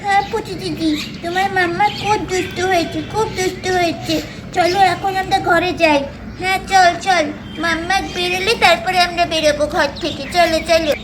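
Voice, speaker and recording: A sweet, pleading small child from a doll cartoon , put on by a woman. The voice is extremely high, childlike and a little whiny. The home-made recording is clearly noisy. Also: steady tone, a little fast. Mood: hurt, indignant.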